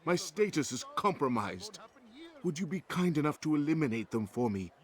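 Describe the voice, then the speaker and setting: An actor playing an anxious informant in a video game, speaking in a formal, measured way. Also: male voice, on the high side, very animated. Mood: grave, pleading. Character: anxious male informant seeking help, formal and grateful.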